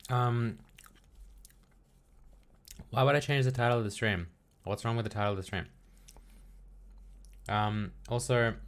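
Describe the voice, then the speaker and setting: A young man streaming live, thinking aloud while coding and chatting casually with viewers, often trailing off into mumbles. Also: mid-range voice. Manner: relaxed, self-deprecating and talkative with chat. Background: keyboard typing and clicks.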